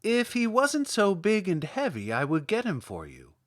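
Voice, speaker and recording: Man voicing a brisk, kindly mother stork. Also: high-pitched, wildly swooping pitch, dry recording, studio quality, pitched above the performer's own voice. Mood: curious, brisk. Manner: matter-of-fact, helpful.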